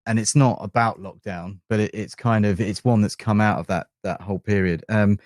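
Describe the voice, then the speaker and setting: Male band member, lowish pitch, very dry recording, conversational interview delivery. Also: fairly even intonation, normal speed, darkish tone, dry recording. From England. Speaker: band keyboardist and backing singer.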